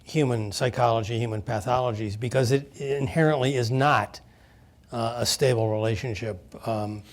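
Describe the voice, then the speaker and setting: A man speaking as an expert on a panel, halting with many pauses and fillers, then blunt in his points. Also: medium pitch. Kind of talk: panel discussion.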